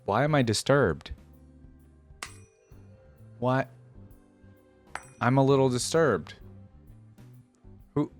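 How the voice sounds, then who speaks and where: A man's voice: mid-range voice, very slow, long pauses, soft background music. A man hosting a reaction video, giving casual, conversational game commentary and thinking aloud as he goes.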